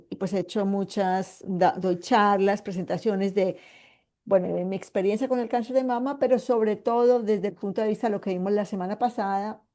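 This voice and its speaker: A woman in her fifties with a Colombian Paisa accent, speaking with a mellow, warm tone over a video call microphone. She explains things patiently as a guest speaker and often pauses to find the right words. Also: medium pitch. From Colombia (Medellín). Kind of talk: online presentation to a support group.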